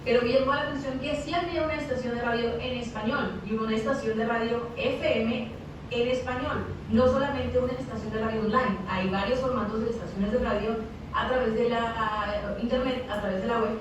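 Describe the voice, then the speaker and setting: A woman's voice: a little high, steady tone, a little fast. Mexican woman, distant and echoey. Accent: Mexican Spanish. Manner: hesitant, repeats words, thinks aloud. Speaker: radio announcer and guest speaker. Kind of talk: interview over a video call. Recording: echoey, distant, noisy video call audio.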